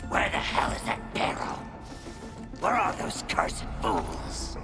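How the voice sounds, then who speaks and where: An actor playing a brutish, angry raider thug in a video game, barking gravelly threats and orders. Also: low voice, wildly swooping pitch, slow pace, music in the background, full character voice. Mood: angry, menacing. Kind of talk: video game character acting.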